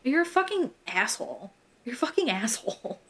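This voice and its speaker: A young American woman with a fairly high voice tells true crime as a podcast host. She is casual and irreverent, leaning on slang and swearing freely as she narrates. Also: sing-song, brisk.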